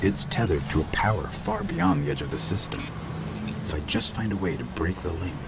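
A man playing a small, watchful robotic AI companion giving expressive mission briefings in a video game. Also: deep voice, moderate pace, gravelly, full character voice. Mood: measured, wary. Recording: loud game music behind.

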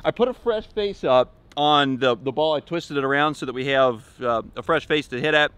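A man's voice: a little high, normal speed, a bit bright, clean tone, no echo. A man in his thirties talking casually to camera outdoors as a vlog host, with a laid-back, wry, informal manner. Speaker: host of a shooting channel. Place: outdoors at a wooded backyard shooting range.

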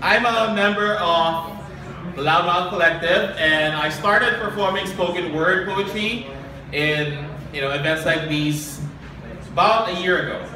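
A young man performing spoken word poetry live, earnest and deliberate, with dramatic pauses. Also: high voice. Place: small live open-mic event. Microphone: handheld and stand microphone, heard from a distant camera. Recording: distant, very echoey, noisy live recording.

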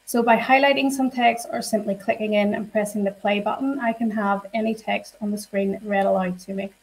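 Woman walking through a software demo. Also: mid-range voice, fairly even intonation, a little fast, rough recording. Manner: friendly and explanatory, many fillers. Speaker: software trainer.

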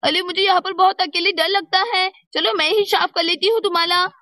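A chatty, eager small child from a school story, played by a woman. The voice is extremely high and bright, urging and exclamatory, in a dry recording with no room sound. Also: steady tone, fairly quick, no echo. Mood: nervous urging.

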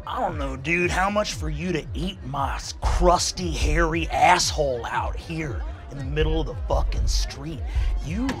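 Man doing a gruff, foul-mouthed bouncer. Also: a little high, measured pace, faint music behind, close to an everyday voice. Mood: scornful, incredulous. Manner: casual, sweary, needling drawl full of "dude" and "man". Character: gruff, crude, foul-mouthed big bearded male bouncer.

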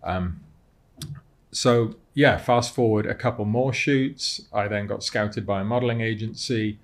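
A British man in his thirties speaks in a lowish voice on a studio mic. He tells personal stories conversationally, reflecting as he goes and often repeating a word before he carries on. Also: a little slow. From Cardiff, Wales. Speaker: podcast guest, content creator and model. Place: podcast studio. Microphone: close studio mic on desk stand.

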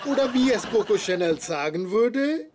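An eager geeky young man, lovestruck and theatrical. It is dubbed sitcom acting, with clear noise in the soundtrack. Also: sits high, sing-song, normal speed. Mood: smitten.

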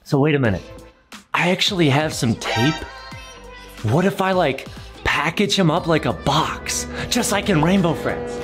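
Young dude, gaming host, swoopy over the top delivery, repeats himself, music playing. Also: male voice, fairly high pitch, moderate pace, roomy sound. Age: 20s. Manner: exaggerated, animated, repeats phrases. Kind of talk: excited gameplay commentary and skit for viewers.

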